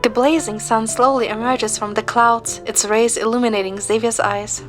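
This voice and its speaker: A woman narrating a scripted first-person story in a measured, descriptive way. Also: fairly high pitch, steady tone, music in the background.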